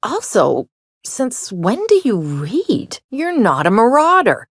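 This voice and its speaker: A woman's voice: on the high side, wildly swooping pitch, slow, husky, dry recording, clean studio sound, exaggerated voice. An actress voicing a sardonic, flippant young woman who wants to be an outlaw in a video game, with a theatrical, wry delivery. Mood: exasperated, flustered. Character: sardonic, serial-obsessed young woman, would-be frontier outlaw. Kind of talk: video game character dialogue.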